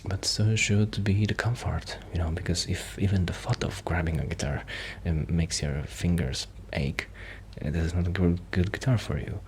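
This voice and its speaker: Soft-spoken man with a low voice. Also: fairly even intonation, normal speed, hardly any pauses. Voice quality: soft, breathy, often near a whisper. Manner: shy, hesitant, rambling. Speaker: ASMR roleplay performer. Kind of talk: soft-spoken ASMR roleplay ramble. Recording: noticeable background noise.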